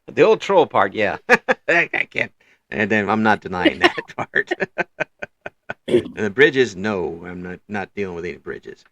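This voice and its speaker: A man hosting a casual interview, talking in halting restarts and chuckling often. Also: lowish voice, big pitch swings, a bit bright, dry recording. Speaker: interview show host. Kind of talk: casual video-call interview chat.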